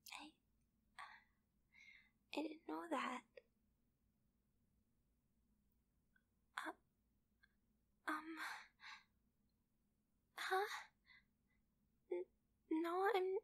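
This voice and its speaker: A woman voicing a bratty, clingy, possessive young girlfriend in an ASMR roleplay, pouty and demanding, with a breathy, often whispery delivery. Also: sits high, fairly bright tone, heavily acted. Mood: confused, embarrassed. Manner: pouty, demanding, halting, with sighs. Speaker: voice actress in a roleplay.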